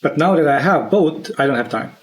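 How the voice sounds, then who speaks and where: A man's voice: fairly high pitch, animated, fairly quick. A man hosting a podcast, chatting casually in an encouraging, enthusiastic way. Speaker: podcast host. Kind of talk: casual chat.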